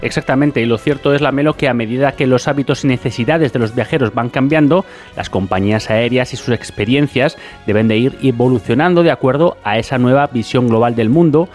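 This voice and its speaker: A man's voice: mid-range voice, fairly quick, darkish tone, soft background music. A man co-hosting a radio interview in prepared broadcast style. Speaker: radio co-host.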